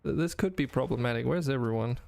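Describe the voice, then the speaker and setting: A man's voice: mid-range voice, quick pace, creaky, a little mellow. A young Danish man chatting to his viewers while streaming a game, rambling with many fillers and restarts. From Denmark. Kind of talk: casual game stream talk to chat.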